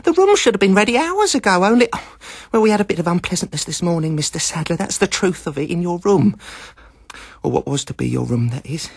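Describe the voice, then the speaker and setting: Flustered, apologetic older landlady played by a male reader, high wavering voice, audiobook. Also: big pitch swings, fairly quick, gravelly, slightly rough, no echo, studio quality, heavily acted. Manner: hesitant, trembling, apologetic.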